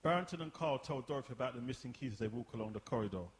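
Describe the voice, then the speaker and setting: A man's voice: medium pitch, very fast, slight background noise. A man narrating a radio play, reading scripted scene directions to set up the action.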